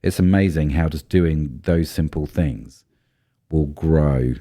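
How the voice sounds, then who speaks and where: A man co-hosting a podcast in casual chat, his deep baritone made rougher by a cold, thinking aloud with many ums and restarts. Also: slow pace, dark tone. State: voice low and rough from a cold spell. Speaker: podcast co-host.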